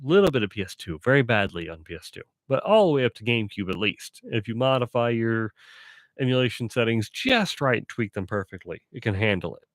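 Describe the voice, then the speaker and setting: A man's voice: mid-range voice, lively intonation, a little fast, no echo. Stuffy, chatty man on a dry mic. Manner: rambling, self-correcting, chatty. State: stuffy nose, sore voice. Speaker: streamer. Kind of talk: casual live-stream chat.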